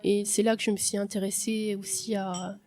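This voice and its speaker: A young woman from France giving a reflective, hesitant personal testimony on a podcast, with many pauses and restarts. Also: medium pitch, little pitch movement, darkish tone, faint music behind. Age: young adult. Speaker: podcast guest.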